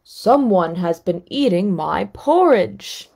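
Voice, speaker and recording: A woman voicing a big, grumbling father bear in a storybook read-aloud, speaking loud and slow. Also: medium pitch, lively intonation, vocal fry, full character voice. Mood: gruff.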